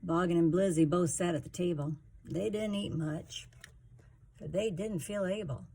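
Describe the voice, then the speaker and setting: A woman's voice: fairly low pitch, steady tone, moderate pace, vocal fry, dark tone, breathy edge. A woman reading a rhyming children's story aloud in a theatrical, emphatic way. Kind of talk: expressive rhyming storybook narration.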